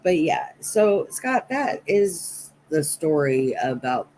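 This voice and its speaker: Slow-paced American woman with low-key storytelling. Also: sing-song, a little mellow, a little hiss or hum, low-quality recording. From Nebraska, United States. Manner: rambling, anecdotal, chatting with viewers. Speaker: livestream host. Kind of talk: casual storytelling chat on a livestream.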